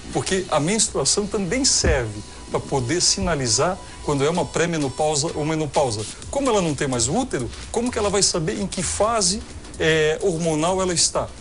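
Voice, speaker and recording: Brazilian man explaining as a doctor. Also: fairly high pitch. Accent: Brazilian Portuguese. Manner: explanatory, methodical, with hesitations. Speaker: gynecologist guest expert. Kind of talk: answering viewer questions on a TV health show.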